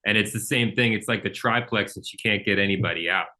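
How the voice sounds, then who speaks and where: A man from Pennsylvania, appearing as a podcast guest, with a lowish voice that has a bright, forward edge. He speaks in an easygoing, agreeable way, often chiming in with "totally" and "sure.". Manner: affable, agreeable, quick affirmations. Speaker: podcast guest, finance professional. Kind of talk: casual podcast conversation.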